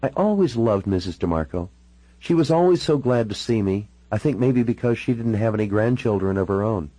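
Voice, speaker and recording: Audiobook role: troubled, candid woman, wry and informal, husky, lifted pitch male narrator performing. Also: lively intonation, brisk, mellow, dry recording, low-quality recording. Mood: reminiscent. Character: troubled, sardonic young-to-middle-aged woman, hard-drinking and candid. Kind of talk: audiobook character dialogue.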